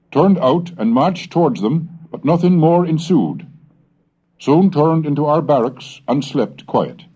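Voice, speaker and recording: A man giving a measured, deliberate reading of an old diary aloud, slowing on the dates. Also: a little high, warm tone, slightly rough. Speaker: reader of historical texts. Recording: dull lo-fi 1970s cassette recording.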